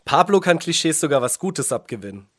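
A male reporter reading scripted radio feature narration with a smooth, polished delivery. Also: fairly high pitch, big pitch swings, a little fast, a bit bright.

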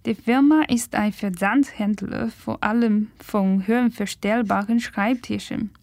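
A young woman from China, reading a scripted first-person report in careful, measured German. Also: medium pitch, darkish tone, no room sound. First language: Chinese. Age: young adult. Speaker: student reporter.

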